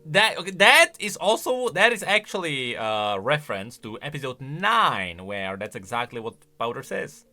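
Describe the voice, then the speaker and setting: A man's voice: mid-range voice, very animated, moderate pace, few pauses, bright, forceful tone, soft background music. A man giving casual, exclamatory reaction commentary, thinking aloud as a video creator.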